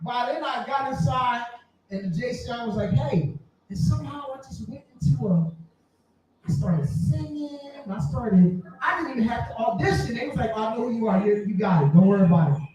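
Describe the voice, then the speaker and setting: A man's voice: very high-pitched, expressive, brisk, darkish tone, a little hiss or hum. A man in his forties telling stories casually and boisterously to a live audience, often repeating phrases for effect. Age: 40s. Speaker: podcaster telling their story at a live event. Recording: distant mic, very echoey room, muffled.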